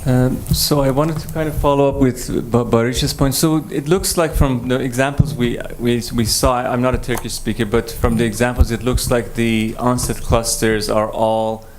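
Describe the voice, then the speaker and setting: A man's voice: medium pitch, steady tone, moderate pace, few pauses, a little hiss or hum. A man whose first language is Persian, asking a thoughtful, rambling question from the audience at a conference. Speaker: audience member.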